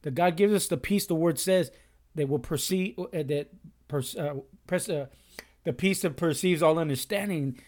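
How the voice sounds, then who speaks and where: Socal podcast host guy, kinda high voice, chatty, throws in voices when he tells stories. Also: normal speed. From Southern California. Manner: lively storyteller who does voices for people in his stories. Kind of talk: casual faith chat.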